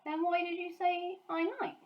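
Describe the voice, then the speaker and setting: A woman's voice: extremely high pitch, flat delivery, moderate pace, dull audio, voice pushed up. A woman voicing a small orphan girl in a storybook, earnest, anxious and full of plaintive questions. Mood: pleading, insistent.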